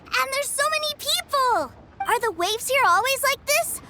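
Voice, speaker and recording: Very high-pitched, innocent little boy. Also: animated, normal speed. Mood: amazed, curious. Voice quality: childlike, boyish. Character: curious, wide-eyed, innocent little boy visitor. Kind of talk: animated video game character acting. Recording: clear music behind the voice.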